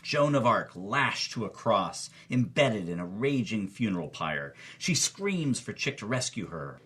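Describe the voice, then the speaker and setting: A man's voice: lowish voice, sharp tone, husky. A man narrating in a dramatic, scripted storytelling style, theatrical and suspenseful, as a co-host retelling a film.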